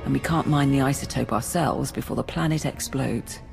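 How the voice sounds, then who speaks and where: A woman's voice: very deep voice, normal speed, vocal fry, over music, full character voice. A woman playing a practical, anxious imperial officer in a video game, speaking deferentially to superiors. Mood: worried, practical. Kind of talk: scripted video game acting.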